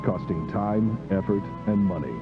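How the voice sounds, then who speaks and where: A man reading scripted narration for a fictional drug infomercial in a formal, measured announcer manner. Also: on the low side. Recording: dull lo-fi video playback with music. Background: background music.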